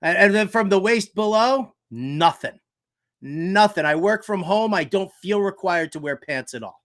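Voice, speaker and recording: A man with a fairly high-pitched voice hosts a casual sports talk show. He delivers comedic commentary with theatrical flair, often hammering a word or phrase twice for emphasis. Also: lively intonation, measured pace, a bit bright. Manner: joking, theatrical, emphatic repetition. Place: shed used as home studio.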